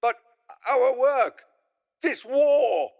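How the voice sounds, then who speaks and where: An actor playing an anxious, dismayed scientist, pleading and indignant, in a video game. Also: male voice, very high voice, lively intonation, very slow, gravelly, darkish tone, highs cut off, exaggerated voice. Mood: shocked. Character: anxious, dismayed male German scientist colleague. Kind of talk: video game cutscene acting.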